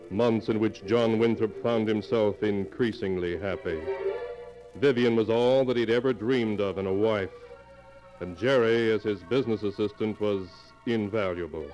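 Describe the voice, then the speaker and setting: A grave male storyteller voiced by an actor narrates a golden age radio mystery. His voice is fairly low and measured, with music behind it. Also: darkish tone, muffled recording, natural voice. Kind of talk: scripted 1940s radio narration.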